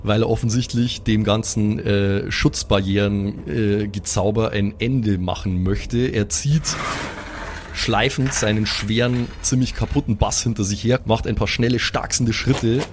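A man improvising the narration of a tabletop role-playing game, descriptive and pausing as he invents. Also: fairly low pitch, fairly even intonation, noisy background. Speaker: game master.

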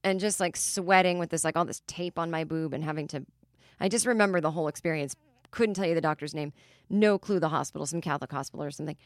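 A woman in her forties with a lowish voice, recorded close and dry on a studio mic. She rambles through comic stories in a chatty, exasperated way, peppered with "like". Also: quick pace, dry recording. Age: 40s. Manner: chatty, exasperated, heavy use of "like". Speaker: comedian podcast host. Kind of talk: casual comedic storytelling monologue.

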